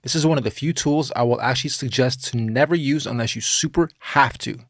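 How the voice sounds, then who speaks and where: A man narrating a software tutorial in an informal, opinionated way, like a music production teacher talking through his steps. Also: mid-range voice, a little fast, no room sound, studio quality.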